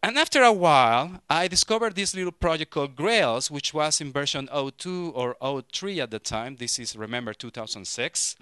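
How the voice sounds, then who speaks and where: A man in his thirties from Mexico with a Mexican Spanish accent, giving a lively, joking technical talk to a conference audience through a handheld microphone. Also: a little high, normal speed, few pauses, smooth, dry recording. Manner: lively, joking, frequent restarts. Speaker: conference speaker.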